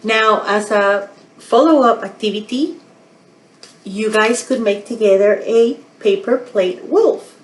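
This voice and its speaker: A woman narrating a storytime for young children as a library storyteller, in a simple, expressive manner. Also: medium pitch, slow pace, poor audio. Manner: expressive, simple, asking the listeners questions.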